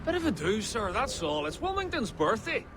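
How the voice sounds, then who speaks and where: An actor playing a nervous, tipsy, deferential young guard in a video game. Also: male voice, high voice, huge pitch swings, normal speed, noisy background. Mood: flustered.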